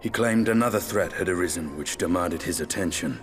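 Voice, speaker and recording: An actor playing an aloof, gruff lancer in a fantasy game cutscene, speaking tersely in formal, archaic phrasing. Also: male voice, low voice, fairly quick, close to an everyday voice. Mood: grave, matter-of-fact. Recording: long reverb, music behind.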